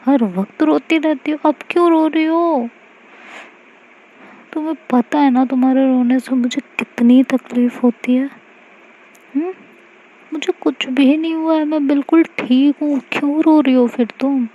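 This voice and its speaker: A playful young woman, teasing and comforting her partner, as a woman storyteller puts her on. Her voice rides high and bright, muffled by a slightly dull lo-fi recording. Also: fairly even intonation, measured pace. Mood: teasing reassuring.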